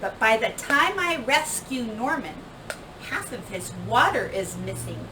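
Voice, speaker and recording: Woman, slow expressive storybook read-aloud, very lively pitch, teacherly, distant noisy recording. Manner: animated, emphatic delivery with acted-out dialogue and sound words. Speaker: teacher reading a children's picture book aloud. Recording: roomy, distant microphone, clear background noise.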